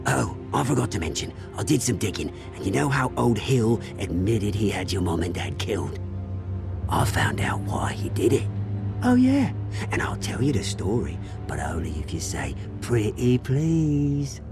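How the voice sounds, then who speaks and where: Theatrical, gloating British villain, swooping pitch. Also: male voice, sing-song, normal speed, gravelly. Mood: taunting, menacing. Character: smug, taunting male villain, scheming rich heir. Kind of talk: video game cutscene acting. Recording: music behind the voice.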